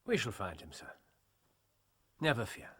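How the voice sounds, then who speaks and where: An actor playing a shrewd, courteous English private detective in a period radio drama, speaking precisely with dry self-assurance. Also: male voice, fairly low pitch, big pitch swings, sharp tone, slightly rough, heavily acted. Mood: courteous, assured. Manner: precise, measured, dryly courteous.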